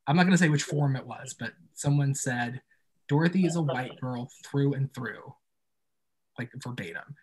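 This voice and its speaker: A man's voice: medium pitch, normal speed, darkish tone, slight background noise, rough recording. A man speaking as an interview guest in a casual, informal and thoughtful way about his own work. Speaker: podcast creator and guest being interviewed.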